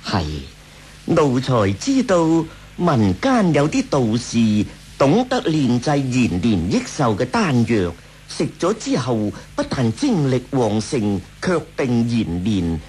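A man's voice: mid-range voice, wildly swooping pitch, a little mellow, a little hiss or hum. A male actor with a Hong Kong Cantonese accent playing an obsequious, scheming court eunuch in a radio drama. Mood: fawning. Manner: obsequious, drawn-out and swooping. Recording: radio drama with faint music.

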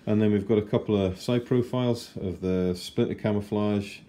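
A man in his forties giving a chatty, unhurried model kit review at his kitchen table. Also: fairly low pitch, steady tone, mellow, slight background noise. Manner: chatty and unhurried, with fillers and self-corrections. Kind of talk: casual unboxing review.

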